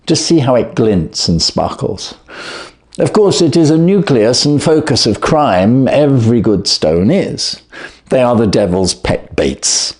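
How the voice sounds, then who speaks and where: A man reading the part of a brisk, clever, self-assured Victorian detective in an audiobook, with precise, commanding, theatrical delivery. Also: medium pitch, big pitch swings, darkish tone. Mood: musing, grave.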